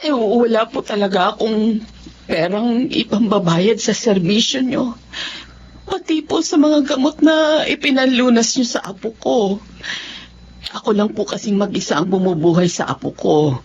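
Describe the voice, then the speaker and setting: An actress playing a poor elderly Filipino grandmother in a Tagalog radio drama, pleading and tearful in a halting way. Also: fairly high pitch, a little mellow, a little hiss or hum. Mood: humble, sorrowful. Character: desperate, poor elderly grandmother, humble and grateful. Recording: dull lo-fi radio drama, music underneath.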